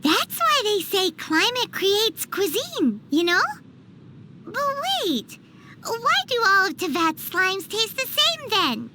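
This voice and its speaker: A woman voicing a tiny, childlike fairy companion in an anime-style game, food-loving, cheeky and excitable, with a squeaky voice and exclamatory delivery. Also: takes it slowly, noisy background, full character voice. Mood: curious, chatty. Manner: speaks of herself in the third person, exclamatory.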